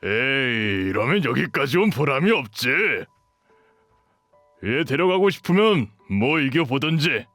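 A man's voice: mid-range voice, very animated, a little slow, a bit bright, dry recording, faint music behind. A young man acting a cocky, sneering bully who taunts in an audio drama. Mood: taunting, smug. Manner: taunting, sneering, sing-song baby talk. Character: mocking, cocky young male shifter bully.

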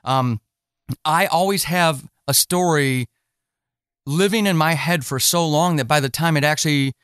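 Higher-pitched man hosting a casual interview. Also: measured pace, fairly bright tone, clear, resonant tone, no room sound, clean studio sound. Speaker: podcast host and interviewer.

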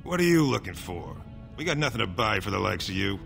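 Dismissive dwarf merchant, low pitch, gravelly tone, faint music. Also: male voice, very animated, fairly quick, a bit bright, exaggerated voice. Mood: blunt. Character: gruff male dwarf merchant, covert trader. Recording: game audio with faint music.

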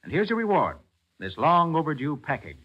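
An actor playing a confident, earnest master detective, assured and persuasive, in a 1940s radio drama. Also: male voice, on the high side, big pitch swings, a little fast, slightly rough. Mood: brisk, intrigued. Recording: muffled 1940s radio recording.

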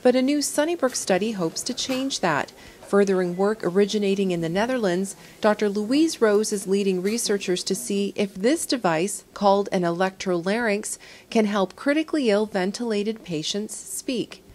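A woman's voice: medium pitch, moderate pace, a little mellow, a little hiss or hum. A woman narrating a news report from a script.

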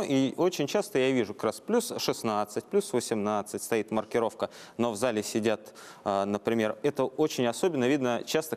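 Mid-pitched man co-hosting a discussion. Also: a little mellow. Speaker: talk show co-host. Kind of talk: conversational presenting, unscripted. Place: TV studio, standing before audience.

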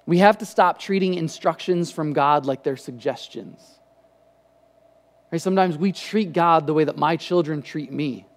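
A man in his thirties, a pastor giving a casual sermon on a church stage, talking conversationally into a headset mic with lively gestures and frequent restarts. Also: on the high side, normal speed, long reverb tail. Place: church stage with lit patterned backdrop.

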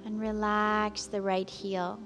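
A woman leads a guided relaxation in a calm, soothing voice. Her pace is unhurried and her pitch stays level, with long pauses between gentle instructions. Faint music plays behind her. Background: soft background music.

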